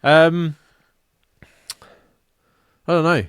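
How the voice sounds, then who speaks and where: A man's voice: on the high side, steady tone, very slow, a bit bright, smooth, no echo, studio quality. A young man co-hosting a podcast, giggly and self-deprecating, swearing casually. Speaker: podcast co-host. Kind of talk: casual banter.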